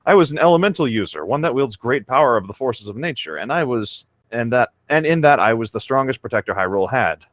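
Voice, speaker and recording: A man's voice: mid-range voice, lively intonation, quick pace, hardly any pauses, fairly bright tone, no room sound. A man reading fan fiction aloud as a co-host, breaking off for sarcastic, offhand asides.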